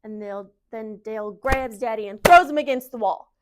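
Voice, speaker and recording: A woman performing a dramatic monologue as an anxious, naive young wife, halting and confiding. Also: a little high, noisy background, natural voice. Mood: frightened, urgent. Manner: halting, confiding, emotional storytelling. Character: anxious young Southern wife, naive, defending her husband. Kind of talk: dramatic monologue in speech competition.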